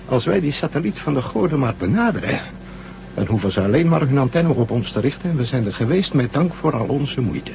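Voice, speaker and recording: Sardonic space commander, animated, muffled. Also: male voice, medium pitch, gravelly. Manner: sarcastic, challenging, authoritative. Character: stern, sardonic, proud male Russian spaceship commander. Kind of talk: Dutch radio drama acting. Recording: muffled, noisy old radio drama recording.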